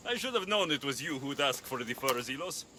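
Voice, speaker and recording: A man's voice: mid-range voice, sing-song, a little fast, bright, forceful tone, slight background noise. A man playing a bold, blunt young Greek mercenary in a video game, with a dry, guarded, sardonic manner and a Greek accent. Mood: dry, familiar. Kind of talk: video game cutscene dialogue. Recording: music behind the voice.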